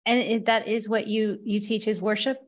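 A woman hosting a podcast, chatting casually with a guest, bubbly and gushing with quick restarts. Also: medium pitch, level tone. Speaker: podcast host. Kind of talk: casual interview conversation.

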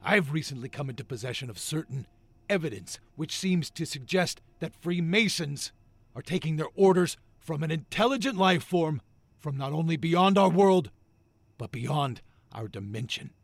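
Audio drama role: excitable male paranoiac, husky and creaky, secretive, jittery earnestness. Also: fairly high pitch, normal speed, no room sound. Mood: earnest, paranoid. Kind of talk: audio fiction drama acting.

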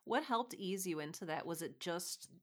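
A woman's voice: on the low side, expressive, moderate pace, fairly bright tone. A woman hosting a casual interview, speaking in a supportive and attentive way. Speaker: podcast host.

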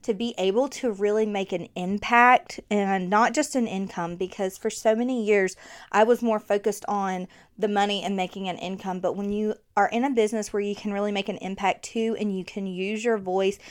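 A woman's voice: mid-range voice, fairly even intonation, moderate pace, clear, resonant tone. A woman with a thick Southern accent hosting her own podcast, chatting casually with fillers and laughter into a new microphone. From Alabama. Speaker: podcast host.

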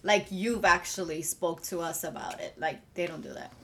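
Woman from puerto rico chatting on a panel, lowish voice, slight room noise. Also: fairly even intonation, moderate pace. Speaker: podcast panelist. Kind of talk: casual conversation.

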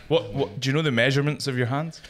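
A man's voice: medium pitch, steady tone, quick pace, a little hiss or hum. A man hosting a podcast interview, talking in a loose, chatty way with fillers and restarts. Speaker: podcast host. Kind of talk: casual interview chat.

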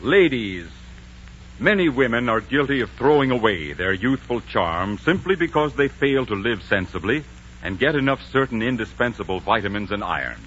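A man's voice: medium pitch, sing-song, normal speed, fairly bright tone, a little hiss or hum. A man with an American accent announcing in a polished, persuasive 1940s radio style. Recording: muffled 1940s radio broadcast.

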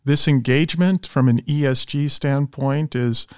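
A man's voice: medium pitch, unhurried, smooth, no room sound, clean studio sound. A man hosting a podcast interview in a polished, professional way, introducing topics and putting questions to a guest. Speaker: podcast host and investment strategist.

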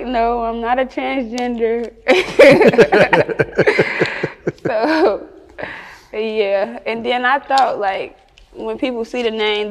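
Candid Chicago woman in a reverberant space. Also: on the high side. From Chicago, United States. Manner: candid, slangy, laughs often. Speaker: rapper being interviewed. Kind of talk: casual interview chat.

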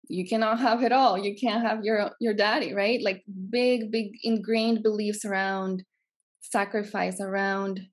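Greek-accented woman around forty, podcast guest, earnest and reflective, mid-pitched voice. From Greece. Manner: earnest, reflective, repeats phrases for emphasis. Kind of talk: personal storytelling in an interview.

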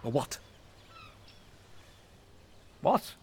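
A British actor playing a sour, jealous funeral director in an audio sitcom, spluttering, clipped and easily flustered. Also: male voice, drawn-out words, dark tone, a little hiss or hum. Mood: bitter, flustered.

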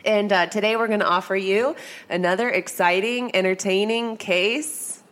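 A woman hosting a true-crime podcast, her voice stuffy from a cold and a little worn out. She chats casually and hedges a lot, with plenty of ums and likes. Her pitch sits in an ordinary middle range. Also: steady tone, normal speed, fairly bright tone. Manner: chatty, hesitant, lots of fillers. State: congested with a cold, tired. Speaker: podcast host.

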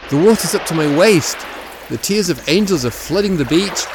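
A man playing a grieving, weary old man alone by the sea in an audio drama, speaking in a halting, anguished, theatrical way. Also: sits high, lively intonation, background noise. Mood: weary, reflective. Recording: music and water sounds behind voice.

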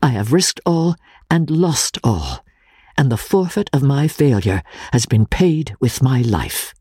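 A man narrating classic literature in the first person as a dramatic reading, in a measured, formal, deliberate manner. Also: mid-range voice, big pitch swings, a little mellow, slightly rough, no echo, clean studio sound. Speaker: audiobook narrator.

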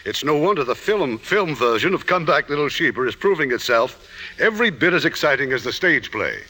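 A man's voice: fairly high pitch, sing-song, brisk, bright, forceful tone, big-room sound. A man announcing scripted promotional copy as host of a 1950s radio broadcast.

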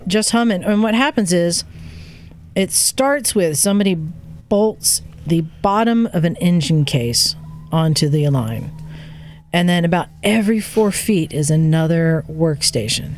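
A woman's voice: on the low side, animated, unhurried, a little mellow, slight background noise. Enthusiastic woman telling a casual story. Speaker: podcast host recounting a trip.

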